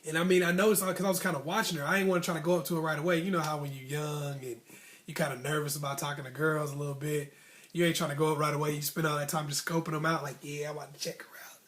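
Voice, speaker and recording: American guy telling a story casually, slangy, voice a bit on the high side. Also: racing. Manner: animated slangy talk, acting out childhood dialogue. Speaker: storyteller host.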